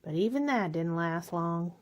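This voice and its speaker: A woman's voice: on the low side, expressive, moderate pace, lightly acted. A woman acting in a radio drama as a lonely, faded spinster who likes her wine, wistful, bitter and self-pitying. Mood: lonely, resigned.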